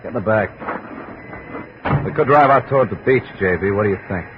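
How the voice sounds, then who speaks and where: A very low-voiced shady gunman, played by a man in an old radio detective drama. He gives slangy orders with casual, cool menace. The recording is muffled, with clear noise behind it. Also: fairly quick, natural voice. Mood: quietly menacing. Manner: slangy, commanding, casually threatening. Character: shady gunman in a bar, coolly menacing, talkative.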